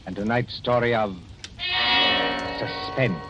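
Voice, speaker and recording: A man announcing a 1940s radio series in a measured, formal delivery. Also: fairly low pitch, steady tone, loud music behind the voice. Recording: muffled vintage radio recording.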